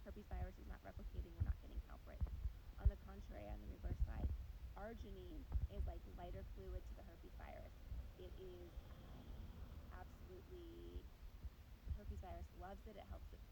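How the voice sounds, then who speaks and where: A woman hosting a casual livestream, chatty and informal, her voice low and strained. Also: very animated, moderate pace. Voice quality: gravelly. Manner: chatty, informal, many ums and likes. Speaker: host. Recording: loud background noise.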